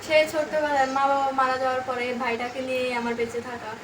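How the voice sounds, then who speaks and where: A woman's voice: sits high, fairly even intonation, fast talker, lightly acted. A woman playing a protective, anxious elder sister with a Bangladeshi accent, talking urgently in an amateur skit. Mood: worried, pleading. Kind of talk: amateur horror-comedy skit acting. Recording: noisy location sound with ambience.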